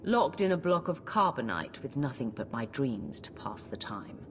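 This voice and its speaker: An actress playing a composed, stoic warrior heroine in a video game, measured, dry and serious. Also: female voice, a bass voice, big pitch swings, slight background noise. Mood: calm, sincere. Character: stoic, composed female Sith warrior hero. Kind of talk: video game cutscene acting. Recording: long reverb, music behind.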